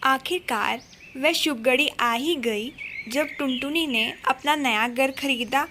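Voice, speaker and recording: Woman narrator, high pitch, slow pace, scripted children's storytelling. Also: pushed and bright, slight background noise.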